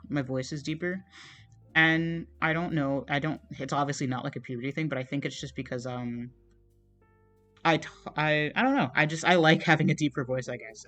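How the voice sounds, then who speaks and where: A young man streaming as he draws, chatting casually in a meandering, soft, self-deprecating way, with plenty of fillers and trailing thoughts. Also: fairly high pitch. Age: young adult. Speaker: art streamer. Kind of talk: casual chat while drawing on a livestream.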